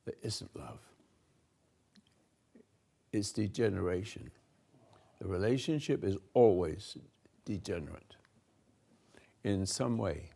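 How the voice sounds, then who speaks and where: Slow, gravelly older man musing. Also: deep voice. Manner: halting, repeats and restarts words, swings from soft to loud. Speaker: spiritual teacher hosting a talk. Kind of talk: slow rambling spiritual talk to a room.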